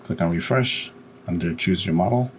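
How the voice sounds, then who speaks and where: A man with a deep voice narrates a software screencast. He explains each step plainly and without hurry, with faint noise behind him. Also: normal speed. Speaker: tutorial host.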